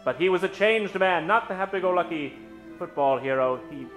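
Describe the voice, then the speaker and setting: A man hosting a live old-time radio show, introducing characters from the stage in a dramatic, drawn-out storytelling style. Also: high voice, expressive, fairly bright tone, faint music behind. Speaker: host and announcer. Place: stage before a live studio audience. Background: musical accompaniment.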